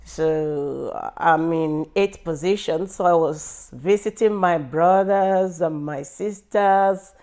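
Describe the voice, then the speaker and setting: Nigerian woman, middle-aged, talks real slow and thoughtful, kinda low voice, lots of pauses. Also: mellow. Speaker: interview guest. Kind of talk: reflective personal interview.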